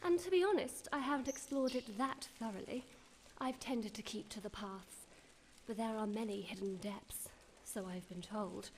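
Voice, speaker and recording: A woman playing a highish, coquettish young lady, noisy ambience. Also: normal speed, darkish tone, natural voice. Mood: playful, gushing.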